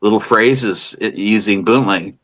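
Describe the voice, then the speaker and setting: A man's voice: lowish voice, a little slow, fairly bright tone. An American man co-hosting a casual beer chat, rambling and restarting his phrases as he talks. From United States. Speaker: beer show co-host.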